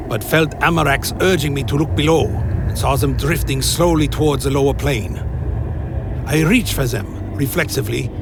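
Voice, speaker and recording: A man's voice: on the high side, creaky, noisy background. A man playing a proud, haunted undead inquisitor, telling his tale in grave, formal, dramatic narration for a gothic fantasy radio play. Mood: solemn wonder. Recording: music bed behind the voice.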